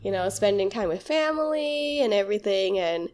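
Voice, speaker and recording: A young woman from Malaysia co-hosting a podcast, her voice nasal from a cold, chatting casually with quick agreeing replies. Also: mid-range voice, lively intonation, darkish tone, faint music behind. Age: young adult. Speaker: podcast co-host. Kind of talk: casual chat.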